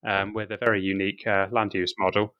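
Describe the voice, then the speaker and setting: A young man in his twenties from Norfolk, England, answering in a measured, dry way. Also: fairly low pitch, little pitch movement, no room sound, dull audio. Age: 20s. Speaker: podcast guest, history postgraduate. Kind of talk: casual chat.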